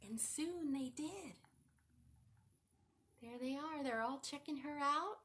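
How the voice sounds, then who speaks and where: A woman's voice: sits high, takes it slowly, a bit bright. A woman hosting a children's storytime, reading a picture book aloud in a sing-song teacher voice and asking the children questions.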